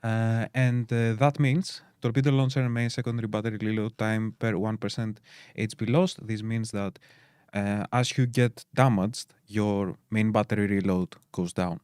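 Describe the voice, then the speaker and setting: A man's voice: lowish voice, fairly even intonation, moderate pace, few pauses, darkish tone, clear, resonant tone, dry recording, clean studio sound. A man from Greece with a Greek accent, co-hosting a game stream and chatting casually with lots of fillers as he explains game details. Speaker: stream co-host.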